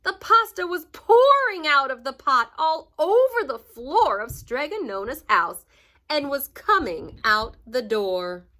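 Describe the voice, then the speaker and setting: A woman with a high, very lively voice reads a picture book to young children. She is expressive and takes her time, stressing the drama like a teacher and adding asides for her listeners. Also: slow. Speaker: teacher reading aloud to first graders. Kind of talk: animated children's storybook read-aloud with asides to the listeners.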